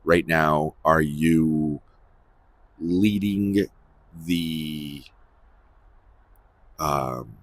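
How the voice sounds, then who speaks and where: A man with a husky voice giving a rambling, unscripted spiritual monologue, emphatically repeating phrases for stress as he hosts. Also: extremely low pitch, very slow, pauses often, no room sound. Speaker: host of a spiritual series.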